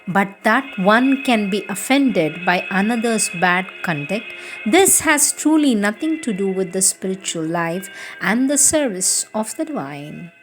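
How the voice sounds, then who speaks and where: A woman reading spiritual letters aloud in a slow, measured, solemn manner. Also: mid-range voice. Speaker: reader.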